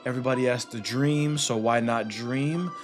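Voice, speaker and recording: A young man giving casual, pensive reaction commentary on a game story, halting and repeating words as he thinks. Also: medium pitch. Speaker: gaming streamer reacting to story. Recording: music behind.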